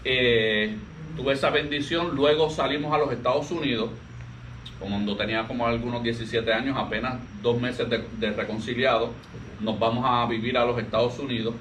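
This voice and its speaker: Puerto rican man in his 40s, pastor, soft halting talk, mic kinda far off w/ faint hum. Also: mid-range voice, steady tone. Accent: Puerto Rican Spanish. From Puerto Rico. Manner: soft-spoken, halting, frequent restarts. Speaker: pastor, interview guest. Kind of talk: relaxed conversational interview. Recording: distant mic with faint ambient noise.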